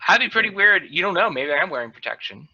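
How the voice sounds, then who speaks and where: Male podcast host, fairly high and forceful voice, casual banter, lo-fi call audio. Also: sing-song, quick pace. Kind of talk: casual joking chat. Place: remote group video call. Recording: dull lo-fi video call audio.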